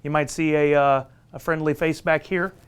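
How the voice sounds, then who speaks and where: A man's voice: on the high side, flat delivery, normal speed, smooth. A man with a Southern US accent hosting a casual company livestream, upbeat and joking with his viewers. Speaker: livestream host from a marketing team. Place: company headquarters studio.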